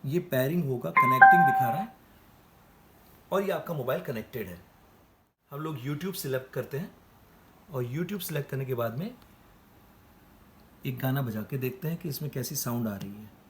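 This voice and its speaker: A man's voice: medium pitch, animated, normal speed, pauses often, a bit bright. A man giving an explanatory product demonstration, addressing viewers as friends. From India. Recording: clear background noise and ambience.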